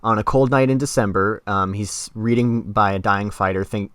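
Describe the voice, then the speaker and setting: A man's voice: on the low side, moderate pace, fairly bright tone, clean tone, no echo. A man hosting a podcast, explaining literature in a casual, wordy way with informal asides. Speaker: podcast host.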